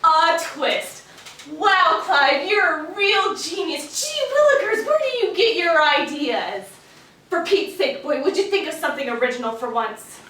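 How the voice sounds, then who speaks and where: Domineering woman writer, acted on stage; high voice, biting sarcasm, short fuse, very echoey and far from mic. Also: animated, a little slow, sharp tone, full character voice. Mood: scornful. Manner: sarcastic, bossy, snapping. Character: domineering, sarcastic, short-tempered female radio scriptwriter. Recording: very echoey, distant stage recording with hiss.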